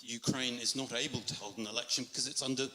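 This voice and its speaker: A man from Europe questioning a speaker in a live political debate, disagreeing in a polite, measured but insistent way. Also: medium pitch, steady tone, bright, forceful tone, a little hiss or hum. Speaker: audience member questioning the speaker. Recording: reverberant hall microphone.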